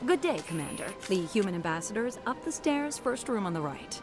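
A woman's voice: medium pitch, sing-song, a little fast, natural voice. A woman voicing a courteous embassy receptionist. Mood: polite. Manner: courteous, formal. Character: polite female embassy receptionist. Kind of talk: video game voice acting. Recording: game audio with background music.

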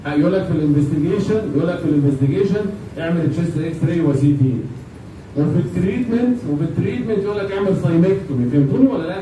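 A man's voice: fairly high pitch, animated, rapid-fire, mellow. A man with an Egyptian accent lecturing informally to a class, mixing in English medical terms, repeating phrases and checking that students follow. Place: indoor classroom at a whiteboard with students. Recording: very echoey, noisy classroom, distant microphone.

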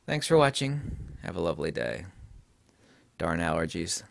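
A middle-aged man hosting a casual book-recommendation talk from his home studio desk, emphatic and opinionated, his voice a little deep from allergies, on a lapel mic. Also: very animated, moderate pace, creaky, a little hiss or hum. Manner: emphatic and opinionated, trails off with fillers. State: voice deepened by allergies. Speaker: book reviewer and channel host.

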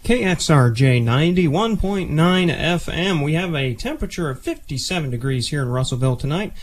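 Young male radio DJ, slightly high voice. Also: lively intonation, fairly quick, a little hiss or hum. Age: young adult. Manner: casual, chatty. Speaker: campus radio DJ. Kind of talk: radio DJ link between songs. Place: small campus radio studio, indoors. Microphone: close studio microphone, headset monitors.

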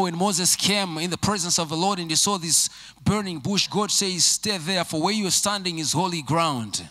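Bright-voiced preaching man. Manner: emphatic preaching, repeats key lines, drops to low quiet phrases. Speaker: pastor. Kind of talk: sermon. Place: church sanctuary with congregation. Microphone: handheld microphone.

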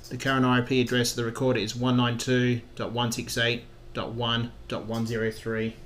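A man narrating a software tutorial as a trainer, giving plain step-by-step instructions in a roomy recording with some noise. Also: medium pitch, monotone, moderate pace. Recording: roomy echo with background noise.

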